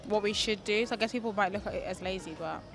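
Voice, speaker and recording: A woman in her twenties giving casual opinions as an interviewee in hesitant, halting phrases. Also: medium pitch, creaky, a bit bright, soft background music. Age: 20s. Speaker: street interviewee.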